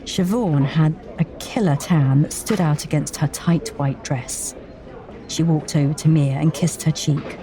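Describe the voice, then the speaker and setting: A woman's voice: deep voice, measured pace, a crowd in the background. A woman reading a scripted story as an audiobook narrator.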